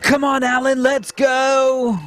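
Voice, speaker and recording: A defiant young hero, played by a man in a science fiction radio drama. The voice sits high and delivers its lines deliberately, with bold, theatrical scorn. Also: monotone, fairly bright tone, full character voice. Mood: urgent. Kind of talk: sci-fi radio play acting.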